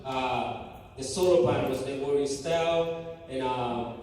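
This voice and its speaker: A man from Guatemala with a Guatemalan Spanish accent, a native Spanish speaker, giving a halting church testimony full of fillers and restarts. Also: medium pitch, a little mellow, slight background noise. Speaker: mission team member giving a church report. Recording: echoey church hall from far off.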